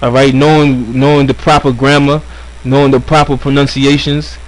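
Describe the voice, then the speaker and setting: A man's voice: mid-range voice, level tone, normal speed, poor audio. Earnest man with mid voice. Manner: earnest, repeats words, says "all right" often. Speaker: co-host. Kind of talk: casual religious commentary.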